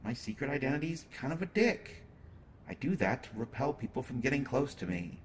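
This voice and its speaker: A man playing a flirtatious, teasing superhero who is secretly smitten, in a halting, playful conversational way for a roleplay audio drama. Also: medium pitch, very animated, a little hiss or hum. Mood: smug, teasing. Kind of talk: roleplay audio drama, acted monologue to listener.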